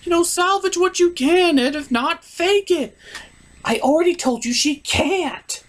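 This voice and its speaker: A man's voice: very high voice, measured pace, a bit bright, pitched above the performer's own voice. A man voicing an argumentative, exasperated female puppet sidekick in a comedic review. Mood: insistent. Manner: emphatic, exasperated bickering.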